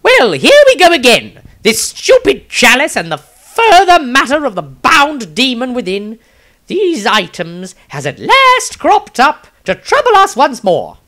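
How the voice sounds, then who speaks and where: Shrill, exasperated Maestro. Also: male voice, wildly swooping pitch, a little slow, pushed and bright, exaggerated voice. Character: loud, exasperated, theatrical fantasy adventurer Maestro.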